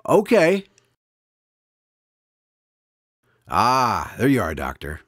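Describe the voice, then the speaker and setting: Animated, nervous radio show presenter. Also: male voice, medium pitch, unhurried, dry recording, clean studio sound, full character voice. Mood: nervous, curious. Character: nervous, polite radio presenter visiting on location. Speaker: announcer and host.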